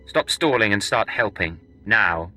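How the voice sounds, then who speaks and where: A man's voice: fairly low pitch, expressive, unhurried, sharp tone, faint music behind, full character voice. A man playing a curt, sardonic secret agent in a video game, with a dry, impatient manner. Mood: curt, firm. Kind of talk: scripted game dialogue.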